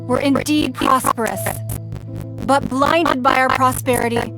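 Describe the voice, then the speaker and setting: A woman playing a composed, stately ruler in a video game, giving a measured, formal speech to her people. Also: high-pitched, steady tone, low-quality recording. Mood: solemn, resolute. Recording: game music behind the voice.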